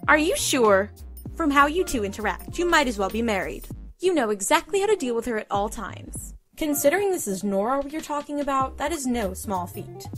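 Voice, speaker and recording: An actress playing a poised, politely curious young huntress student who speaks formally and precisely in an audio drama. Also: female voice, high voice, animated, moderate pace, fairly bright tone, faint music behind.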